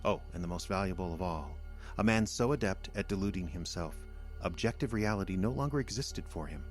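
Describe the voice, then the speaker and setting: An actor in an audio drama playing a condescending alien invader in human guise, speaking in a measured, lecturing manner. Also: male voice, deep voice, soft background music, close to an everyday voice. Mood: smug.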